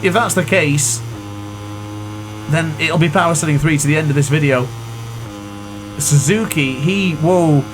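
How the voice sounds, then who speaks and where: A man commentating his own racing game run in a chatty, excitable way, with a sore throat. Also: on the high side, measured pace, long pauses, noisy background. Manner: excitable, chatty, exclaims at crashes. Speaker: gaming commentator. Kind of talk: live gameplay commentary.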